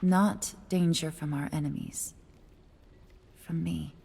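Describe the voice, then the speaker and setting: A woman playing a wistful, guarded fox spirit in a fantasy game, speaking softly, breathily and hesitantly. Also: sits low, fairly even intonation, takes it slowly, creaky, breathy edge, heavily acted. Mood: gentle, guarded. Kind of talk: cinematic fantasy game acting.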